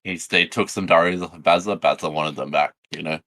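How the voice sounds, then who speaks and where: A man's voice: deep voice, fairly even intonation, fairly quick, sharp tone, no echo, clean studio sound. A man, co-hosting a podcast in playful, jokey casual chat. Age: 20s. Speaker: podcast co-host.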